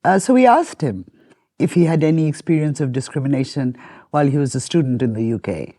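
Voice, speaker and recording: Woman, mellow husky voice, relaxed conversational podcast co-host. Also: low voice, a little fast. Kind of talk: conversational narration.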